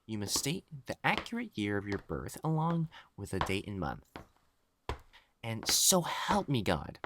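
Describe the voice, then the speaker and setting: A man's voice: mid-range voice, background noise. Haughty, theatrical matchmaker played by a man, swooping intonation. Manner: pompous, theatrical, formal old-fashioned phrasing. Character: elegant, haughty, easily exasperated young male matchmaker of ancient China. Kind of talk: scripted audio roleplay acting.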